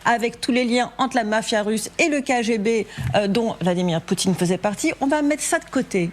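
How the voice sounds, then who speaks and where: A woman giving argumentative analysis as a panel guest, insistently building her case point by point. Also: medium pitch. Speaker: studio debate guest.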